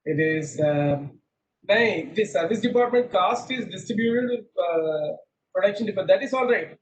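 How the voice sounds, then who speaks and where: A man's voice: high-pitched, animated, moderate pace, a little hiss or hum, low-quality recording. A middle-aged man teaching an online class over a video call, quizzing students and repeating himself, mixing English with Hindi. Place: small room indoors. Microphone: laptop webcam on a video call.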